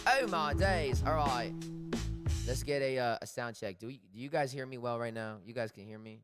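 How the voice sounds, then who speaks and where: Man, deep voice, slangy laid-back streamer chat, echo-effect mic, loud music in the background. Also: normal speed. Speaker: livestream host. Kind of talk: casual livestream chat.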